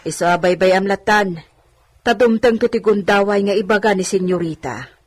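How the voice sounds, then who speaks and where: A woman's voice: medium pitch, normal speed, no echo, lightly acted. A woman playing a chatty, teasing housemaid in an audio drama, talking in a familiar, advising way. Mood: confiding.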